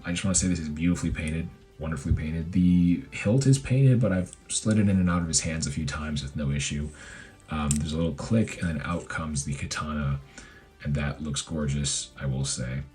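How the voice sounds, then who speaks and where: A man with an extremely low, hoarse voice talks through a toy review in a loose, meandering way. He fills gaps with ums and likes and corrects himself as he goes. Faint music plays softly behind him. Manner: rambling, filler-heavy, self-correcting. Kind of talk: casual product review.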